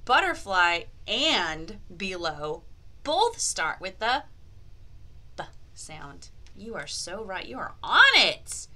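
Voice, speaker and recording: A woman's voice: mid-range voice, sing-song, takes it slowly, bright, forceful tone. A woman hosting an interactive lesson for young children, playfully exaggerated and encouraging, asking questions and drawing out key words. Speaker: children's teacher and host of a read-aloud language activity.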